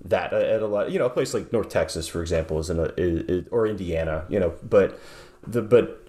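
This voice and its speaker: Guest bassist, forties, lowish voice, thoughtful and halting, home video-call sound. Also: male voice, a little mellow. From southeastern New York. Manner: thoughtful, many restarts and fillers. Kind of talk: casual interview. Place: home room with guitars on wall.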